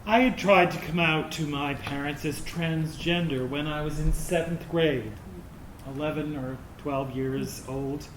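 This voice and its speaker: A man's voice: a little high, unhurried, close to an everyday voice. A man acting in a staged reading as a transgender adult looking back on childhood, sober and understated. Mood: sober, pained. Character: transgender adult recalling painful childhood rejection. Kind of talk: sober monologue read from a script to an audience. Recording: roomy stage recording with faint noise.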